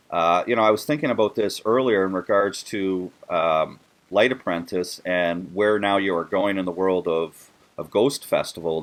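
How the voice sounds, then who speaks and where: Male interview host, lowish voice, effusive and informal, doubling back on words. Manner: effusive, repeats words as he goes. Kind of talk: casual, promotional interview chat.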